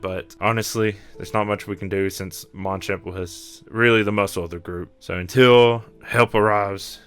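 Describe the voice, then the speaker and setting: A man's voice: lowish voice, sharp tone, clean tone. A man voicing a weary, kindly creature guide in a tabletop roleplay, sighing and matter-of-fact.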